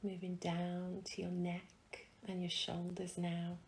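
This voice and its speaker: Female meditation guide: very slow, gentle and hushed, some creak in the voice, faint noise behind. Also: fairly low pitch, monotone. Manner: soft, soothing, gentle delivery with long pauses.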